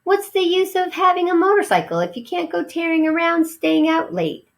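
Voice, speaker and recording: A woman's voice: very high-pitched, expressive, normal speed, gravelly, voice pushed up, exaggerated voice. A woman voicing a small young male mouse in a storybook, eager, complaining and wheedling. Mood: indignant, defiant.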